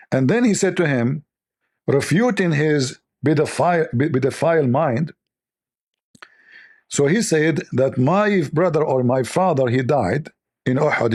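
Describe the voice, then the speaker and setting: Male host, slightly high voice, hoarse from overuse, scornful lecturing tone, non-native English. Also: darkish tone. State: losing his voice. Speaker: religious debate host. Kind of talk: polemical commentary reading texts.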